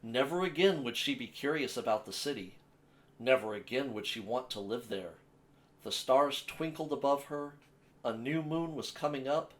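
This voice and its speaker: Guy doing story time, reading a kids picture book, expressive and measured, medium pitch. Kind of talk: read-aloud children's picture book narration.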